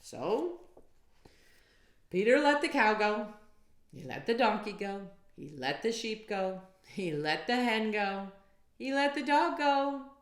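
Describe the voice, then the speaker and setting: An animated woman storyteller reads to children, her pitch moving freely up and down. She takes her time over each phrase, speaking slowly and expressively. The sound is roomy, with audible echo. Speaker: storyteller reading a children's book aloud.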